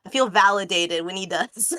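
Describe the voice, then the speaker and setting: A woman's voice: mid-range voice, moderate pace, pushed and bright. A woman in her late twenties, a guest artist chatting casually on a stream in a rambling way, full of fillers and restarts. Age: 20s. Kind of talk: casual chat.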